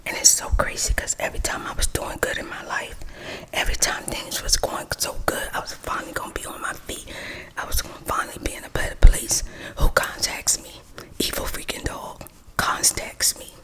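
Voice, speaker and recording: A woman's voice: brisk, poor audio. A woman whispering a gossipy storytime while she does her makeup, close to the mic. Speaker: vlogger.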